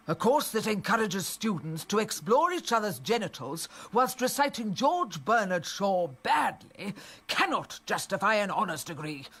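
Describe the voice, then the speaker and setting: Radio comedy role: snobbish, self-important dean dictating memoirs, high voice, very varied intonation. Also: male voice, a bit bright, heavily acted. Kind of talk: radio comedy drama monologue.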